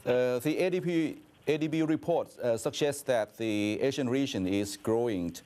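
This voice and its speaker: A man working as an interviewer, putting roundabout questions in a halting, conversational way. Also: medium pitch, dark tone, slight background noise. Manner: halting, roundabout questions peppered with "you know".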